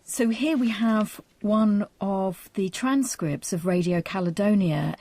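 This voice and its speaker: A woman's voice: mid-range voice, dark tone, no room sound. A woman presenting a radio history documentary, moving between scripted narration and interview questions.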